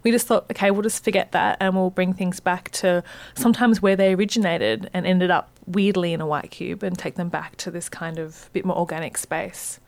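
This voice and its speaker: A woman, guest on a radio arts show, talks with lively enthusiasm and plenty of fillers. Her voice sits a little low, and the studio sound is very dry. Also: a little fast, creaky, few pauses, no echo. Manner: animated, enthusiastic, many fillers. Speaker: arts centre director, guest. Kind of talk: radio interview.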